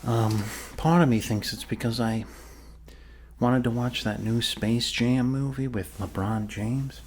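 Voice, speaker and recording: A man's voice: medium pitch, fairly even intonation, measured pace, darkish tone, a little hiss or hum, lightly acted. A man playing a friendly doctor in an ASMR roleplay, reassuring his patient in hesitant, rambling talk with joking asides. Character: friendly rambling male doctor treating a zombie bite. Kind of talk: ASMR roleplay, improvised soft bedside talk.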